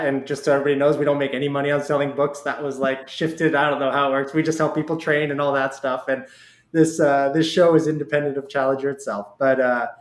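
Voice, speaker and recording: A man with a mid-pitched voice in a roomy, echoey space. He talks casually as a podcast host, slipping into little quoted asides as he explains things in a conversational way. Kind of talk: casual interview chat.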